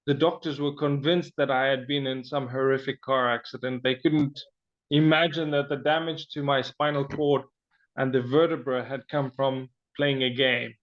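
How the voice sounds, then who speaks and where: A man's voice: medium pitch, fairly even intonation, moderate pace, muffled recording. A man from Zimbabwe, an interview guest, telling his personal story with frequent fillers and restarts.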